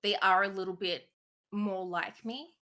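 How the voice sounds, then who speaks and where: An Australian woman chats as a podcast guest, speaking softly with frequent ums and light laughter. Her voice has a bright, clear edge. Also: mid-range voice, steady tone, a little slow. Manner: soft-spoken, many ums, easy laughs. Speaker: guest streamer. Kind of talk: casual interview chat.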